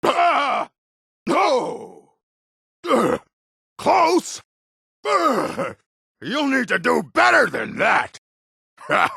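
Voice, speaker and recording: An actor playing a gruff, aggressive orc warrior in a video game, with a rough, gravelly voice and a blunt, menacing manner. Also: male voice, extremely high pitch, big pitch swings, drawn-out words, long pauses, pushed and bright, dry recording, shouting, studio quality, heavily acted. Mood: combative, shouting. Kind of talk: video game character barks.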